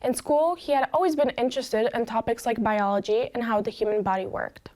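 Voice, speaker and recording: Young american woman, student host doing a prepared intro, voice a bit on the high side. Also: a little fast, darkish tone. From Chicago, United States. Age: 20s. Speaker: student presenter introducing speakers.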